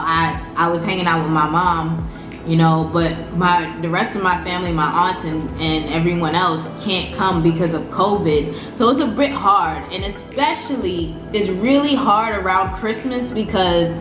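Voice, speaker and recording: Chatty Brooklyn woman in an echoey room. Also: lowish voice. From Brooklyn, New York City. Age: 30s. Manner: chatty, repeats phrases, slangy. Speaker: vlogger. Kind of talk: casual story time chat.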